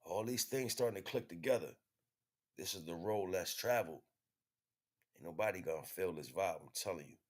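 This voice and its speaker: A man's voice: sits low, a little fast, darkish tone. An American man giving a casual spiritual monologue as a livestream host, in slangy, profane, conversational speech.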